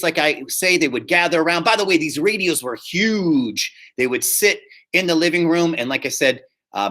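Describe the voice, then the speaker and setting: A man teaching a high school theater class online, explaining slides in a conversational, repetitive way. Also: bright, forceful tone. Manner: conversational and explanatory, often repeats himself. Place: live online class over video call.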